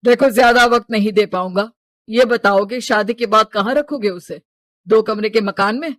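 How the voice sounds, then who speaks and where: A woman's voice: fairly high pitch, moderate pace, dry recording, clean studio sound, natural voice. Stern, domineering cartoon father, acted by a woman. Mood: dismissive. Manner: scolding, brusque. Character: stern, money-minded, domineering father of a bride.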